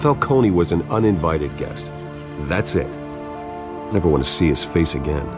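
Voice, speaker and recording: An actor playing a brooding, restrained wealthy man with a gravelly voice, calm and earnest, in a video game. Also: deep voice, moderate pace, music in the background. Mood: firm.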